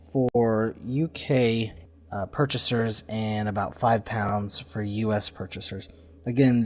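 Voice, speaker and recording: A man hosting a podcast, giving informal announcements and reviews in a halting way, with pauses mid-sentence. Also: medium pitch, darkish tone, no room sound. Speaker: podcast host.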